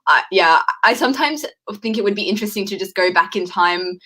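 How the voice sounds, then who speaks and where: A young woman hosts a casual livestream from a room at home, and her voice has a bright edge. She talks in a relaxed, friendly way and thinks aloud. The sound comes from a laptop mic. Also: mid-range voice, a little fast. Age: young adult. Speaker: livestream host.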